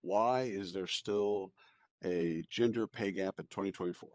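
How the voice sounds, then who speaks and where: A man with an American accent and a fairly deep voice, recorded very dry. He talks as a podcast co-host, casual and opinionated, often doubling back on a phrase for emphasis. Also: unhurried, a little mellow, no room sound. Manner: informal, repeats phrases for emphasis. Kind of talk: casual opinionated news discussion.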